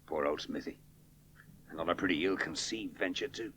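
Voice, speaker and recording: A man's voice: deep voice, moderate pace, sharp tone, a little hiss or hum. An actor playing a wry, laconic male aviator with a drinking habit in a radio play. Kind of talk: 1970s radio drama acting.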